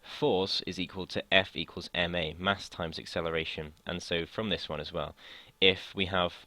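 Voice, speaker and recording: A young man from the United Kingdom explaining a physics lesson in a casual way, his voice slightly croaky from long talking, often hesitating and restarting sentences. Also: sits low, flat delivery, normal speed, bright, forceful tone, dry recording. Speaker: student tutor.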